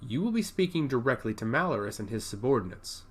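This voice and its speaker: A man voicing a brisk, self-assured young woman priest in a fantasy audiobook, formal and matter-of-fact. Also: medium pitch, sing-song, breathy edge.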